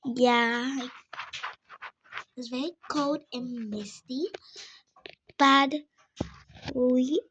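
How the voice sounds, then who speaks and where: A woman with a South African Afrikaans accent, a native Afrikaans speaker, chatting casually to viewers in a halting, simple way. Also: high voice, fairly even intonation, lo-fi sound, noisy background. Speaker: vlogger. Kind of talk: casual vlog chat to viewers.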